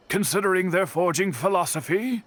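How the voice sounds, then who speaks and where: Slow, high-pitched thoughtful smith. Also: male voice, a bit bright, slight background noise. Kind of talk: video game character acting. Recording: music behind the voice.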